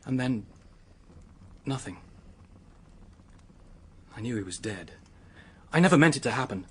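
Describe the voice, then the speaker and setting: An actor playing a distraught young British man in a radio drama, confessing to an accidental killing in a haunted, halting manner. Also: medium pitch, expressive, a bit bright, slight background noise. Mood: haunted, agitated.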